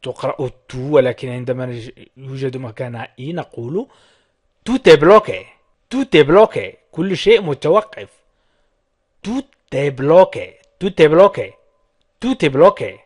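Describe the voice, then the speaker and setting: A man who is a native Arabic speaker, teaching as a language tutor with deliberate word-by-word phrases, repeated and translated. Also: mid-range voice, animated, breathy edge.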